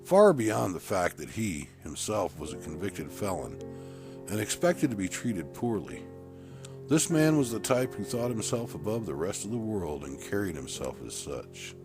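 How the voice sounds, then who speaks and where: A man's voice: lowish voice, big pitch swings, normal speed, over music. A man reading a scripted horror story as a dramatic narrator.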